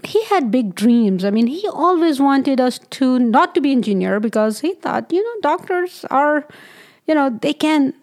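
A woman from Pakistan sits as a guest in a studio interview. Her voice sits a little high, and she talks her way through her story hesitantly, filling pauses and laughing often. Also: animated, a little mellow. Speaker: guest physician. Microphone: studio mic.

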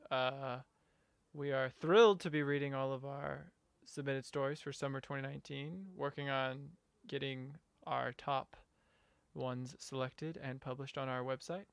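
Gravelly man hosting a podcast. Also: mid-range voice, steady tone, measured pace, no echo. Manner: hesitant, with fillers. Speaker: podcast co-host. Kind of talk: casual chat.

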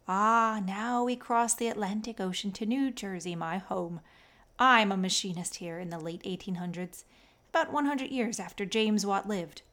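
A woman's voice: medium pitch, moderate pace, a bit bright, close to an everyday voice. A woman voicing an earnest American machinist man who explains things like a tour guide. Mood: cheerful.

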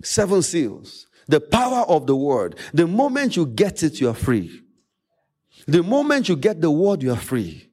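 High, animated Nigerian male preacher. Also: husky. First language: Yoruba. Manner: emphatic, repeats phrases, dramatic pauses. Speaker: pastor. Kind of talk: preaching a sermon.

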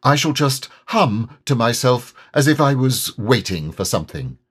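A man voicing a kindly, slow-witted toy bear who talks in a thoughtful, hesitant way in a children's storybook. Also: mid-range voice, big pitch swings, a bit bright, breathy edge. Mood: pondering, hesitant.

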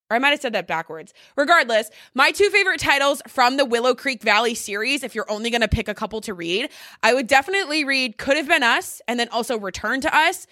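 Female vlogger, high bright voice, gushing and conversational, very dry close sound. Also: dry recording. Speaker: vlogger recommending favorite books. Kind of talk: casual chatty storytime monologue to camera.

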